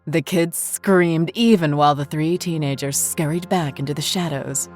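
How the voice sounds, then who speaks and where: A woman narrating a scripted horror story in a measured, deliberate way. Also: low-pitched, darkish tone, soft background music.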